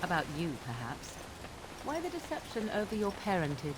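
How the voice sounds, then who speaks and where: A blunt woman companion from a fantasy video game, over clear background noise. Her pitch moves in lively sweeps as she probes. Her phrasing is formal and pointed. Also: moderate pace. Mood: pointed, probing. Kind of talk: video game dialogue acting.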